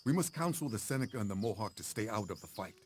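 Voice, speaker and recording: Stern, low-voiced older uncle. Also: male voice, sing-song, quick pace, soft background music. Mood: grave counsel. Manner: grave, authoritative. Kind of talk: video game cutscene acting.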